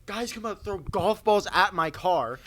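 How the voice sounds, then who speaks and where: A man's voice: sits high, lively intonation, unhurried, a bit bright, a little hiss or hum. A young American man telling anecdotes casually among friends, in a slangy, boyish way, on a stick mic. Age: young adult. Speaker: podcast co-host. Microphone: stick microphone.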